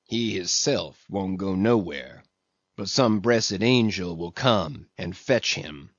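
A man's voice: medium pitch, big pitch swings, unhurried, no echo, lo-fi sound. A man voicing a sulky ninety-year-old ship's cook in a storybook, muttering and grumbling in a heavy dialect. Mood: solemn.

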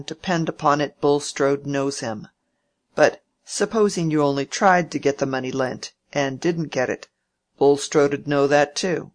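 A domineering old landowner with plain country speech, read aloud by an audiobook narrator. He grumbles and bargains in a louder, harder voice than the narration around him. His tone is crafty and scolding. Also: mid-range voice, close to an everyday voice. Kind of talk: audiobook character reading.

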